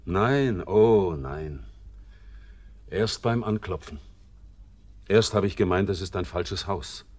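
An actor playing a bitter, brooding young sailor back home, in a classic radio play. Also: male voice, low-pitched, darkish tone. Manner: slow, somber, bitter and brooding.

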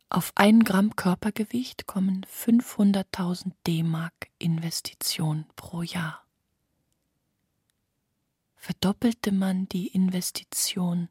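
Woman reading slowly, almost all whisper, really breathy and lowish, dry studio. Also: a little mellow, no echo. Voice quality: breathy, whispery, largely unvoiced. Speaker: narrator reading literary prose. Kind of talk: scripted literary narration for radio drama.

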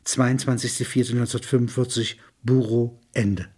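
Male reader, medium pitch, husky tone, deliberate and monotonous recital of documentary entries. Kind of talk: scripted reading of dates and places.

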